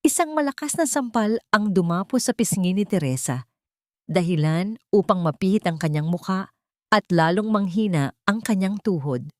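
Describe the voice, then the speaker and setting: A woman's voice: mid-range voice, animated, moderate pace, no echo, clean studio sound. A woman narrating a scripted dramatic story in a solemn, melodramatic manner. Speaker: audiobook narrator.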